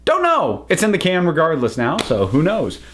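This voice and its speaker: Man, somewhat high voice, swooping intonation, chatty, rambling, unscripted reviewer. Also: huge pitch swings, moderate pace, fairly bright tone, slight background noise. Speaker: reviewer and host. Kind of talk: casual unscripted review to camera.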